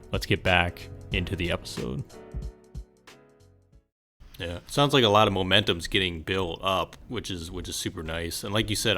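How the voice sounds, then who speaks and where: A man's voice: low-pitched, bright, forceful tone. A man hosting a podcast, speaking in a casual, conversational way to a guest and listeners. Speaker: podcast host.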